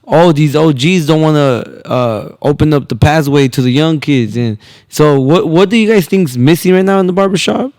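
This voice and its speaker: A man's voice: a little high, normal speed, a little mellow, no echo. A man in his twenties hosting a podcast, chatting casually and informally with slangy questions. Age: 20s. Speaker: podcast host. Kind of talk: casual interview chat.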